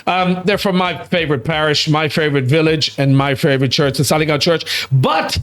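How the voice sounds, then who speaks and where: A man's voice: on the high side, steady tone, normal speed, a bit bright. A man hosting a talk show in a loose, effusive way, often repeating himself. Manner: effusive, rambling, repeats words.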